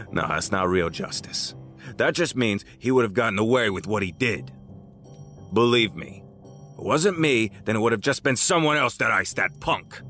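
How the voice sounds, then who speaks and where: A man acts out a menacing, cynical crime boss for an audio drama. His voice is on the low side and swings widely in pitch, arrogant and condescending, with music underneath. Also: animated, bright, forceful tone. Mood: smug, cynical. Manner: taunting, condescending, drawn-out threats.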